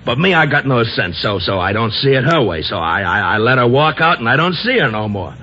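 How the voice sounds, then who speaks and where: An actor playing a simple, lovesick, punch-drunk American ex-boxer in an old radio drama, stammering and repeating himself. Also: male voice, medium pitch, sing-song, bright, forceful tone, slightly rough, full character voice. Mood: eager, wistful. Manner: stammering, halting, repeats words. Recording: dull, noisy old radio recording.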